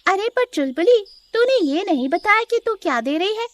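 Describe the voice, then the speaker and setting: A woman's voice: very high voice, very animated, moderate pace, pitched above the performer's own voice. A woman voicing a lazy, nosy young male monkey in a children's cartoon, talking in a casual, prying way. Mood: curious.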